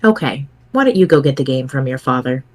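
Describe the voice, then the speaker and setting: A person playing a formal, dry, sardonic chat friend in a dramatic webcomic reading, with measured phrasing. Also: medium pitch, animated, natural voice. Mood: dry, exasperated.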